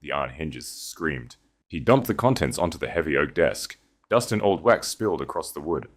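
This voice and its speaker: A man narrating a dramatic historical romance audio story in a measured, scripted delivery. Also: low voice.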